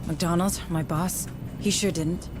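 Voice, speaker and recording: A woman's voice: fairly even intonation, slow pace. A woman playing an earnest, determined civilian administrator in a video game. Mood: worried, urgent. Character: earnest, determined female company administrator, civilian among soldiers. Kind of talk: video game cutscene acting. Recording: music and vehicle rumble behind.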